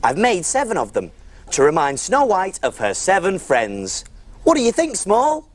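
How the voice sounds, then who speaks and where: A man's voice: high voice, huge pitch swings, moderate pace, full character voice. A man playing a friendly big cook who presents simple step-by-step cooking on a preschool show, in an encouraging way. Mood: cheerful. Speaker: children's cookery presenter.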